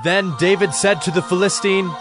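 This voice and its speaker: A man giving measured, solemn scripted narration for a dramatized Bible. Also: high voice, steady tone, over music.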